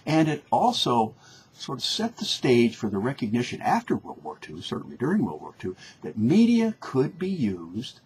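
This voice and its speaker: A man's voice: mid-range voice, huge pitch swings, normal speed, darkish tone, breathy edge. A man lecturing informally, explaining in a conversational, didactic way and often rephrasing for emphasis. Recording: faint background noise.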